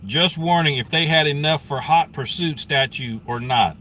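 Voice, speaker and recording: A man with a bright, forward voice streaming live commentary. He talks conversationally and thinks out loud, with faint vehicle and scanner noise behind him. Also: on the high side, moderate pace, rough recording.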